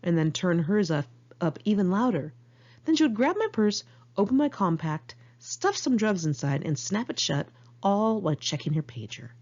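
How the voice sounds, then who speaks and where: An American woman reads her own memoir as an informal audiobook, with a candid, wry, expressive manner. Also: medium pitch, normal speed, lo-fi sound. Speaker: author reading own memoir.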